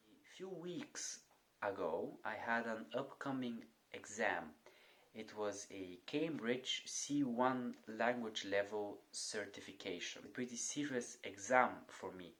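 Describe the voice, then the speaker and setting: Young male vlogger, earnest and measured, mid-pitched, very slow, deliberate delivery. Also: fairly bright tone. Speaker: vlogger and coach. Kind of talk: personal motivational monologue.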